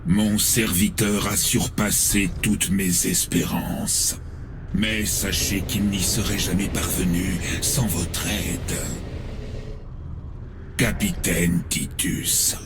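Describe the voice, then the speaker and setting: A man's voice: sits low, level tone. A man voicing a menacing chaos sorcerer lord, mocking and grandiose, in a dramatic game cinematic. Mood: mocking, triumphant. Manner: mocking, grandiose, drawn-out delivery. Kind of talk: dramatic game cinematic acting in French dub. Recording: loud music behind.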